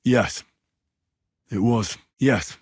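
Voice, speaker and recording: A man playing a brash, blunt young medieval squire in a video game, with a husky voice. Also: mid-range voice, drawn-out words, dry recording, clean studio sound. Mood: firm. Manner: blunt, colloquial, assertive. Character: brash young medieval Bohemian squire, plain-spoken and quick-tempered. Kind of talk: video game dialogue acting.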